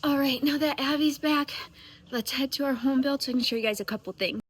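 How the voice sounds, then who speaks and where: A young woman from the United States, a family vlogger, chatting casually to camera and rambling through a story in quick runs with soft, hushed stretches. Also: high-pitched. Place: home indoors, then an outdoor house build site.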